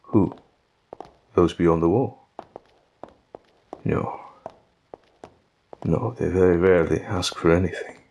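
A man playing a patient, gentle older king in an audio drama, with deliberate, formal diction. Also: low-pitched, steady tone, a little hiss or hum, rough recording. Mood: patient, explaining.

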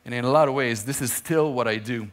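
A man's voice: medium pitch, fast. A middle-aged man, preaching earnestly from a theater stage on a headset mic, his voice dropping at the ends of phrases. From Israel. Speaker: main speaker. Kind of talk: sermon.

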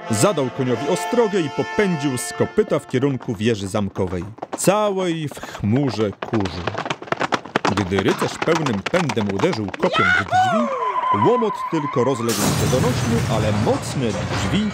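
Casting: theatrical tale-teller for children's audio drama, hugely varied intonation over prominent music. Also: male voice, medium pitch, huge pitch swings, measured pace. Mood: dramatic. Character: dramatic storybook narrator of knightly deeds. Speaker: narrator. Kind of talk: scripted children's radio play narration.

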